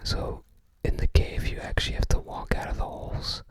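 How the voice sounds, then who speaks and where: An ASMR host whispering in a hushed, unhurried way, musing aloud while browsing a book.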